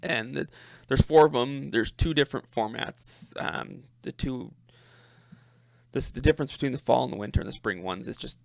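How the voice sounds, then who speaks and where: A man giving an informal screen-share walkthrough as a presenter, speaking haltingly and thinking aloud, often repeating his words. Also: medium pitch, creaky, no room sound. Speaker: presenter demonstrating a personal coding project.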